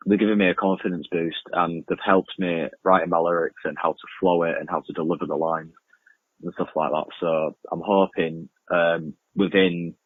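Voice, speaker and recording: A man's voice: low voice, breathy edge. A young man answering casually as a radio interview guest, hesitant and informal, often repeating himself. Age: young adult. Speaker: interview guest, amateur music producer and podcaster. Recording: muffled lo-fi, roomy echoing remote link.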